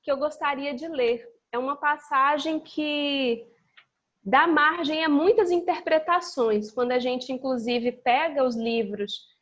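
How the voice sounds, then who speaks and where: A woman's voice: sits high, unhurried, fairly bright tone. A woman giving an informal religious study talk in a didactic, conversational way. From Salvador, Bahia, Brazil. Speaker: guest speaker. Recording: dull lo-fi internet call audio.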